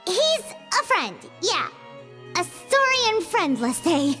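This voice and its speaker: A woman voicing a tiny, excitable, chatty fairy companion with a squeaky childlike voice in a video game. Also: slow pace, faint music behind, full character voice. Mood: sheepish, curious. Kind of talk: video game cutscene voice acting.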